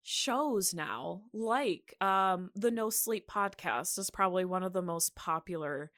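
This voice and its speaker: A woman podcast host chatting casually in a halting, informal way, often dropping in "like" as she thinks aloud. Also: fairly low pitch, lively intonation, a bit bright, no room sound, studio quality. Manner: halting, informal, filler-heavy with "like". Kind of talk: casual chat about horror radio.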